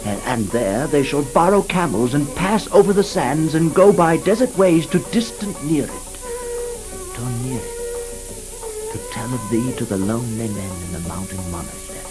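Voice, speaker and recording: A man's voice: medium pitch, expressive, normal speed, over music, lightly acted, rough recording. An actor playing an ardent old king recounting a dream of lost love, lyrical and declamatory, in poetic radio drama style. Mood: impassioned, yearning.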